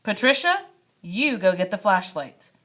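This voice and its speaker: A playful, adventurous storybook dad, acted out by a woman reader, eager and theatrical. The delivery is very animated, full of teasing relish for spooky monster tales. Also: mid-range voice, unhurried, pitched above the performer's own voice. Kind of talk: children's storybook read-aloud.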